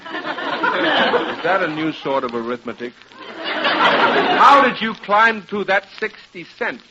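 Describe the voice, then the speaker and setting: An irritable, pompous professor in an old radio comedy, high-voiced and sarcastic. He words everything with fussy precision. The recording is muffled, with heavy noise. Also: huge pitch swings, takes it slowly, a loud crowd, heavily acted. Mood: flustered, sarcastic. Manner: indignant, fussy, sarcastic, over-precise wording. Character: irritable, pompous college professor, easily exasperated. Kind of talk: vintage radio sitcom acting.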